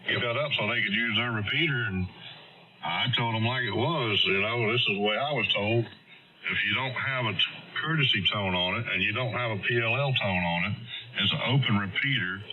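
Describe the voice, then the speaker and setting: A man's voice: on the low side, normal speed. A middle-aged or older man with a Southern US accent telling stories casually on ham radio in a plain-spoken, folksy way. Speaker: amateur radio operator. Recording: dull, noisy radio transmission.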